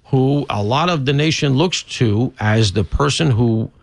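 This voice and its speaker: A man's voice: mid-range voice, unhurried, gravelly, no echo. A male radio host interviewing a guest on a political talk show, chatty and opinionated.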